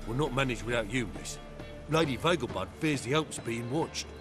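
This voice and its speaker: A man's voice: medium pitch, expressive, moderate pace, music in the background, lightly acted. A man voicing a plain-spoken servant with rustic turns of phrase in a video game. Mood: businesslike. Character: plain-spoken male servant passing on instructions.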